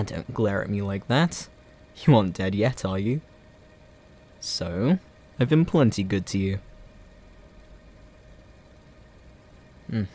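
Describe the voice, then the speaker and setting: Supervillain for roleplay audio drama, male actor: low voice, unhurried delivery, theatrical, sarcastic, toying playfully with a captured hero. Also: full character voice. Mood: mocking, theatrical. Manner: mocking, playful, taunting, chuckling.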